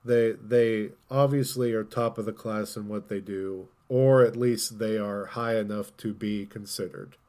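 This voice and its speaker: A man hosting a casual gaming podcast, talking in an opinionated way and thinking out loud as he goes. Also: lowish voice, steady tone, measured pace, few pauses. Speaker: podcast host.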